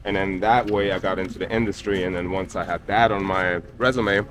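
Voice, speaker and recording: A man's voice: low voice, fairly quick. A man from Austria, a German native speaker, talking casually and unhurriedly with a friend, full of fillers and restarts. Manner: relaxed, many fillers and restarts. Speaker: guest, childhood friend. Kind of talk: casual conversation.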